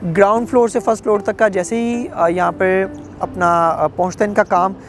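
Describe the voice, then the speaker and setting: A young man with a Pakistani Urdu accent delivers a confident real estate sales pitch straight to camera, recorded outdoors on a lapel mic. His steady, persuasive delivery leans on filler phrases as he pushes the offer, with faint music underneath. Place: outdoors at a construction site.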